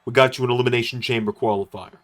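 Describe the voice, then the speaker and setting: A man's voice: medium pitch, steady tone, rapid-fire, a bit bright, natural voice. A man voicing an earnest, proud wrestler in a video game story, speaking solemnly and insistently. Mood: persuasive. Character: earnest, proud male wrestler pleading for loyalty.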